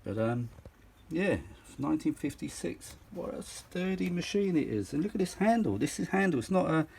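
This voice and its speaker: A man's voice: fairly high pitch, big pitch swings, normal speed, gravelly, a little mellow, husky. A middle-aged or older man, chatting unscripted as a vintage audio enthusiast showing a machine, rambling, exclaiming and repeating himself for emphasis. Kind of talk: casual unscripted show-and-tell chat.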